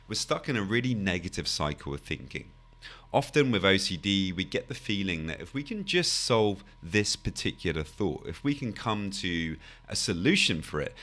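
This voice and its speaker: Man, very low voice, hoarse and croaky, calm explanatory podcast host, restarts phrases. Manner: calm, explanatory, with frequent "you know" and small restarts. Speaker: podcast host and anxiety coach. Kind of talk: solo podcast talk.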